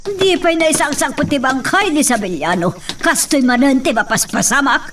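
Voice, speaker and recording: A woman's voice: sits high, animated, normal speed, a bit bright. A woman with an Ilocano accent playing a scolding, wealthy lady of the house in a radio drama, heard from a distance. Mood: scolding, alarmed. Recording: distant mic, faint noise.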